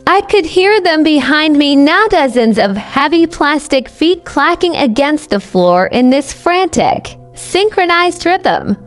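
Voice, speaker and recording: A woman narrating a scripted first-person horror story in a conversational but deliberate, dramatic way. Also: high voice, animated. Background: music bed.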